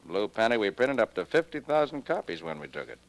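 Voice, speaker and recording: A wisecracking publisher, swooping delivery. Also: male voice, mid-range voice, big pitch swings, brisk, gravelly. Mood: wry. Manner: brusque, wry, slangy. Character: gruff, wisecracking music publisher, grumbling about sales. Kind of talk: 1940s radio detective drama acting.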